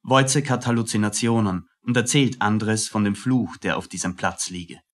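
A man's voice: on the low side, moderate pace. A man reading scripted scene narration for a radio play.

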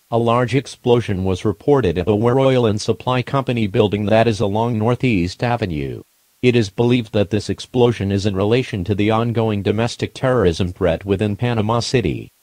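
A man's voice: lowish voice, a little mellow, dry recording. A man's formal, impersonal synthetic voice reading a scripted emergency alert announcement.

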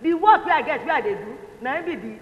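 A woman's voice: high-pitched, lively intonation, fast talker, muffled recording. A woman with a Nigerian accent playing a brash, proud cleaner in a stage comedy, taunting and exclaiming. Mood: scolding, boastful. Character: brash, proud female cleaner, teasing and scolding. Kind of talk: comic stage acting in Nigerian Pidgin. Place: indoor theatre stage set. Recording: reverberant stage, faint noise.

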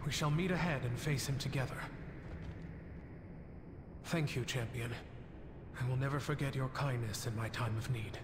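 A man acts an earnest, gracious dragon in a fantasy game. His medium-pitched voice echoes noticeably, with clear ambient noise around it, and his delivery is courteous and sincere. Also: normal speed, long pauses, natural voice. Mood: earnest, grateful. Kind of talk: video game character acting.

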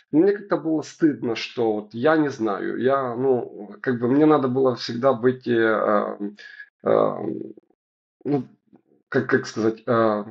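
A man with a gravelly Romanian accent, halting. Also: medium pitch, darkish tone, muffled recording. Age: 40s. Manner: halting, searching for words, many fillers. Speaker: sommelier guest. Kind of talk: interview answers in a second language. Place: indoors at home, bright room.

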